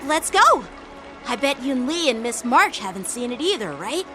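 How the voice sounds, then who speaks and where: A woman's voice: high voice, sing-song, normal speed, fairly bright tone. A woman voicing an earnest, eager teenage boy, a proud young knight in a video game. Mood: eager, friendly. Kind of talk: English video game voice acting. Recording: music behind the voice. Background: game soundtrack music.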